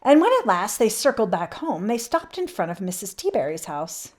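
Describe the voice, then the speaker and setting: A woman reading a children's picture book aloud expressively, with emphatic swells and hushed drops. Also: medium pitch, sing-song, moderate pace. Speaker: children's read-aloud channel host.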